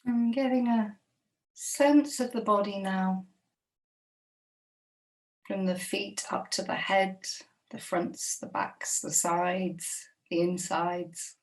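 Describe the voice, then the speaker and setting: A woman guiding a body scan meditation, speaking slowly and gently with long, unhurried pauses. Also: mid-range voice, steady tone, far from the mic, rough recording. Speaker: meditation guide.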